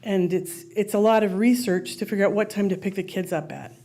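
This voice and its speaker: A woman's voice: medium pitch, steady tone, brisk, background noise. A mother speaking at a school board meeting, making a friendly, conversational plea into a podium microphone. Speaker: parent speaking at public comment. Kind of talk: unscripted public comment.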